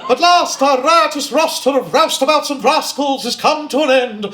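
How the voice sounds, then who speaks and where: A man's voice: very high-pitched, normal speed, a crowd in the background. An actor playing a jovial, pompous music hall chairman on stage, making florid, projected announcements that relish every alliteration. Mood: jovial, grandiose. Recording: roomy theatre, faint background noise.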